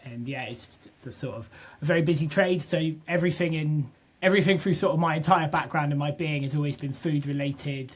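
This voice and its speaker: A young man from southwest England, a podcast guest talking casually and restarting his sentences often. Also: fairly high pitch. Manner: chatty, restarts often, repeats words. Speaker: podcast guest, business co-founder. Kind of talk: casual interview chat.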